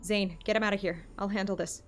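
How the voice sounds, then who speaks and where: A woman's voice: medium pitch, steady tone, fast talker, faint music behind, lightly acted. A woman playing a bold, teasing young monster hunter in a game story. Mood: urgent, resolute.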